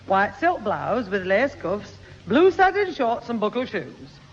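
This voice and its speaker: A woman playing a brisk, no-nonsense, scolding mother in a 1960s radio comedy. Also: medium pitch, very animated. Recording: echoing hall, muffled old radio, faint hiss.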